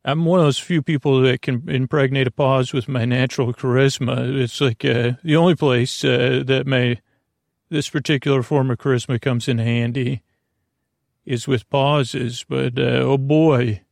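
A man with a creaky voice hosting a sleep podcast, giving a soothing bedtime monologue that meanders and halts with pauses and repeated phrases. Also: mid-range voice, steady tone, no room sound, clean studio sound. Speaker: podcast host.

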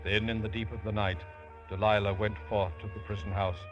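A man's voice: fairly low pitch, flat delivery, fairly quick, highs cut off. A man giving solemn, biblical-style scripted narration for a 1950s radio drama. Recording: old radio broadcast over music.

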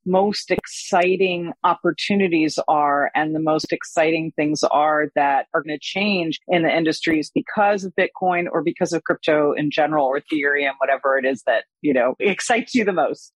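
Husky-voiced woman podcast host. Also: low voice, moderate pace, hardly any pauses, dry recording, rough recording. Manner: enthusiastic, chatty. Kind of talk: casual interview.

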